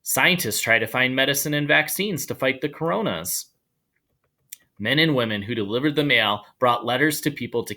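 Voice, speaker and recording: A man reading a children's story aloud in an animated storytelling style. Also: mid-range voice. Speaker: storybook reader.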